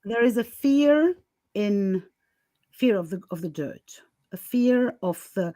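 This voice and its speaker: A woman's voice: medium pitch, lively intonation, slow, warm tone, no echo. A woman podcast host talking candidly and casually, emphatic and given to chuckling as she goes.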